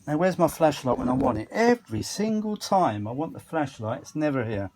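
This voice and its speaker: A man's voice: on the high side, animated, brisk, a little mellow. A British man giving a casual, unscripted running commentary as a hobbyist repairer, often muttering asides to himself while he works. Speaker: hobbyist repairer presenting a video. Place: home kitchen workshop. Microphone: handheld phone.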